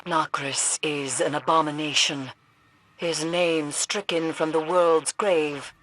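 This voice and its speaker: A woman's voice: low-pitched, fairly even intonation, takes it slowly, fairly bright tone. A woman voicing a stern, cryptic mystic guide in a video game, with formal, ominous, archaic phrasing. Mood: grave, disdainful. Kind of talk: scripted video game voice acting.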